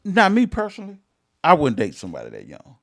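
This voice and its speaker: A pastor in his fifties co-hosting a casual talk show, emphatic and quick to repeat himself. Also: a little high, huge pitch swings, a bit bright. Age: 50s. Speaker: pastor and podcast co-host. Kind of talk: casual chat.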